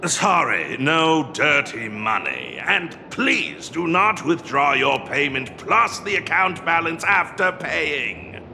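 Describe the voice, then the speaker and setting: An actor playing a pompous, melodramatic detective's assistant who doubles as a noir narrator in a video game, with a creaky, deliberate delivery. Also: male voice, fairly high pitch, very animated, pushed and bright, in a large hall, a little hiss or hum, exaggerated voice. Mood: businesslike.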